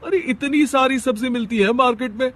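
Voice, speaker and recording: A man's voice: very high-pitched, fairly quick, a bit bright. A man voicing an irritated young husband, complaining and exasperated, in a cartoon family story. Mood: annoyed. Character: irritated young husband fed up with lazy wife.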